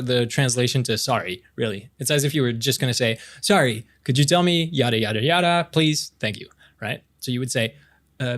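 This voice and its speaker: A man's voice: mid-range voice, natural voice. Man acting as friendly French Canadian best-friend tutor. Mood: encouraging. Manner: halting, encouraging, often chuckling, drawn-out demonstrations. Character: friendly, playful young man tutoring his childhood friend. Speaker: voice actor in a romantic audio roleplay. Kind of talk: intimate romance ASMR roleplay, casual improvised-sounding tutoring.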